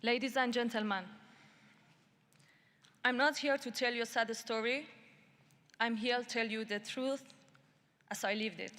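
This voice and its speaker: A woman's voice: on the high side, fairly even intonation, a bit bright. Emphatic woman speaking in a reverberant hall. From Iran. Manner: deliberate, measured and emphatic. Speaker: speaker at a human rights summit. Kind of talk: prepared speech. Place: large conference hall.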